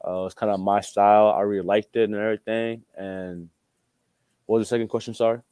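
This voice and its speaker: A young man from Australia answers interview questions in a low, mellow voice. He talks casually and informally, circling back over phrases, in a dry, close recording. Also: level tone, brisk, clean tone, no room sound. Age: young adult. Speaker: college basketball player, interview guest.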